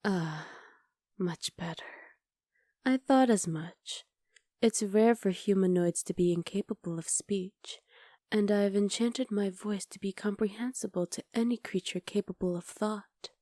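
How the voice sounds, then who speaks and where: A woman playing a haughty, menacing witch conqueror in an ASMR roleplay, speaking in soft, measured, formal sentences with a hushed, breathy delivery. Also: mid-range voice, no room sound, clean studio sound, close to an everyday voice. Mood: intrigued. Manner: imperious, measured, formal diction.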